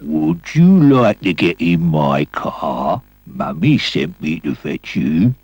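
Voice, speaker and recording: A man voicing a sinister, coaxing male stranger with a gravelly voice in a puppet-show film. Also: low voice, sing-song, takes it slowly, a little mellow, full character voice.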